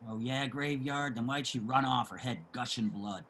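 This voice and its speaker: An actor playing a brash, boastful, crude homeless ex-sailor with a rough voice in a play reading. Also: male voice, mid-range voice, normal speed, fairly bright tone, close to an everyday voice. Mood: defensive, taunting. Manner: combative, crude street talker. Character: brash, boastful, gossiping homeless ex-merchant-marine man. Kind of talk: theatrical table reading of a stage play.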